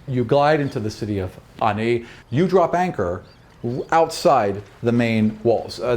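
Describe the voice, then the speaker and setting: A man's voice: medium pitch, a little mellow, a little hiss or hum. A man working as a game master, narrating a tabletop roleplaying game in a descriptive storytelling way. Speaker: game master and podcast host.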